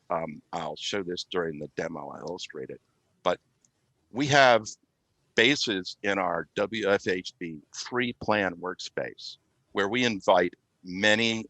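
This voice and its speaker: Male podcast guest, deep register, measured technical explainer with halting delivery, very little room sound. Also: bright, forceful tone, no room sound. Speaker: IT specialist and consultant, guest. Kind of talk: explanatory product talk on a podcast.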